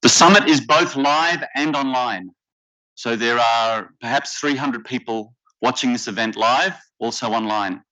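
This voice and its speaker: Bright-voiced Australian man emceeing. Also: mid-range voice. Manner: measured, deliberate delivery with occasional fillers. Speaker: workshop facilitator and emcee. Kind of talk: formal conference welcome speech. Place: conference hall stage. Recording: slightly dull lo-fi conference audio.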